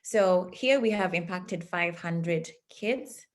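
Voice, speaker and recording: A woman from Kenya, founder of a nonprofit, giving an earnest, measured presentation on a video call with frequent fillers. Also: on the low side. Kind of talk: online conference presentation.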